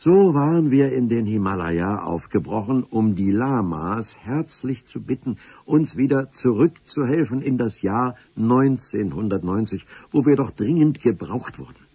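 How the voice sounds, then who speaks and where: An actor playing a solemn, pedantic civil servant who narrates a radio play in formal, wordy sentences with a husky voice. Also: male voice, medium pitch, animated, warm tone, no room sound. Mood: solemn, wry. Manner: formal, wordy, dramatic pauses.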